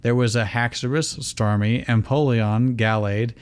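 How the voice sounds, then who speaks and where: A man's voice: mid-range voice, fairly even intonation, unhurried, clear, resonant tone. A man reading fan fiction aloud as host, in story narration mixed with casual asides.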